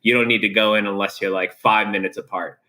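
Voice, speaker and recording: A young American man speaking as a guest in an interview, relaxed and candid, with many filler words. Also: fairly low pitch, fast. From USA. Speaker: guest, professional triathlete. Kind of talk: casual interview chat.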